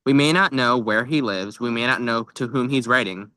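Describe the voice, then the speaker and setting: Young man with a bright, dry voice. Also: mid-range voice, moderate pace, smooth, no echo. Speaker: podcast co-host. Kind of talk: casual book club chat. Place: outdoors by a tree at a lake.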